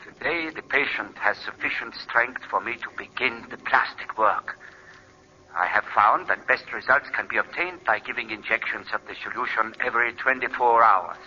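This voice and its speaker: A man acting a grave, regretful scientist reading his notes in a solemn, measured 1940s radio drama style. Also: mid-range voice, sharp tone. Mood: solemn satisfaction. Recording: old radio recording, telephone-like band.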